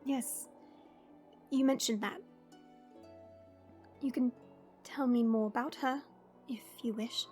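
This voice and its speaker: A woman playing a gentle dragon princess in an audio roleplay, speaking in a measured, formal and tender way. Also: on the high side, fairly even intonation, soft background music. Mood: gentle, remorseful. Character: gentle dragon princess, proud gardener, softly regretful.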